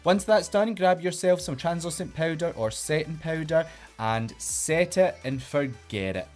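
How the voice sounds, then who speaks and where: Chatty Glaswegian man, slightly high voice. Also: animated, measured pace, a little mellow, soft background music. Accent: Glaswegian Scottish. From Glasgow, Scotland. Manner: upbeat, playful, giving step-by-step instructions. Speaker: drag queen host. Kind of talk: makeup tutorial.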